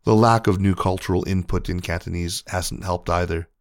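A man reading a news feature article aloud in a measured, even narration. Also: deep voice, no echo, studio quality.

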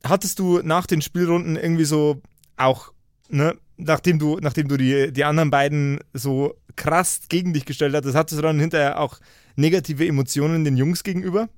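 Higher-voiced man chatting casually. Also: steady tone, a little fast, dry recording, studio quality. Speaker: podcast co-host and role-player. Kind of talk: casual chat.

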